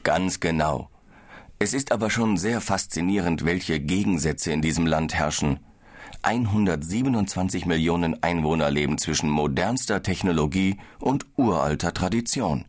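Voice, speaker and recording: Low male, calm and explanatory, scripted audiobook dialogue. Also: normal speed. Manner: calm, explaining, slightly tired. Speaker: business traveller in a language-course dialogue.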